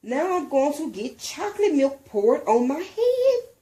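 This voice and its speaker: A woman's voice: high-pitched, very animated, a little slow, warm tone, pitched above the performer's own voice. A woman voicing a grouchy, outspoken little kindergarten girl with a childlike, emphatic manner in a storybook. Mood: dismayed. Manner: childlike, emphatic, blunt grammar.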